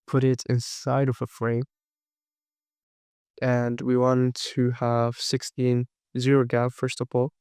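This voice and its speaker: A man with a cold walking through a design tutorial, unhurried and thinking aloud, his voice sometimes cracking. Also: mid-range voice, a little mellow, smooth, no echo, studio quality. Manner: unhurried, thinking aloud with frequent fillers and restarts. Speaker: design course instructor. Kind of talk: screen-recorded software tutorial.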